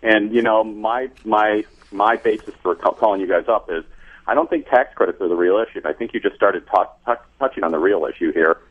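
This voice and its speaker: Narrow phone line, man caller, American accent, deep husky voice, casual explaining. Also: a little fast. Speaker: call-in listener, community college teacher. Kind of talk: casual explaining on a call-in show. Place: phone call to a radio show.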